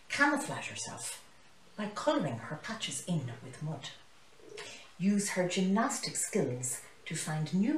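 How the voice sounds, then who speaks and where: A woman's voice: lowish voice, slight background noise. A woman, reading a children's story expressively in a living room. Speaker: storybook reader. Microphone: camera across the room. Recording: roomy, mic some distance away.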